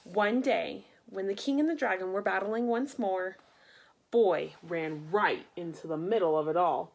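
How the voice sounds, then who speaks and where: A woman reading a picture book aloud for children in an expressive, deliberate way, acting out the story. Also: medium pitch, vocal fry, low-quality recording. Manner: animated, deliberate, acts out sound effects. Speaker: storybook reader.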